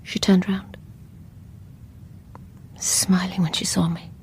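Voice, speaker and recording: A woman's voice: lowish voice, little pitch movement, takes it slowly, whispered. An actress playing a haunted, bitter, unstable woman in a radio play, with a brooding, intense delivery. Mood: menacing, hushed. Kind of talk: radio play acting, dramatic monologue.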